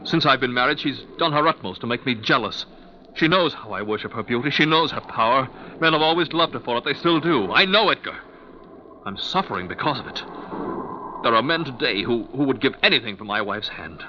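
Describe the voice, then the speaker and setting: A man plays a tormented, jealous painter in a 1940s radio play. He speaks in halting, intense bursts and repeats himself, volatile underneath. The sound is muffled, with clear background noise. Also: mid-range voice, lively intonation, close to an everyday voice. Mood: anguished, bitter. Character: overwrought jealous male painter, obsessed with his wife.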